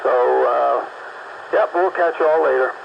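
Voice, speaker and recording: A man's voice: medium pitch, a little slow, gravelly. A man chatting over CB radio in a laid-back, plainspoken way. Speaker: CB radio operator. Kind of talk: casual CB radio chat. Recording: muffled CB radio with static.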